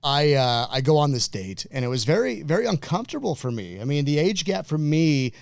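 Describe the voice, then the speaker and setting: A man in his forties hosts a casual podcast over drinks, circling his point with halting starts and fillers. His voice sits a little high for a man. The sound is dry and close. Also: sing-song, gravelly, dry recording. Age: 40s. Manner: rambling, halting storyteller. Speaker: podcast host.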